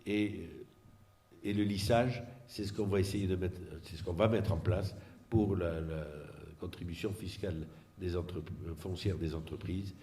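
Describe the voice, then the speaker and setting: Low-voiced man, halting explanatory talk. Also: fairly even intonation, mellow. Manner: halting, searching for words, repeats words. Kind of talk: explanatory political presentation, unscripted.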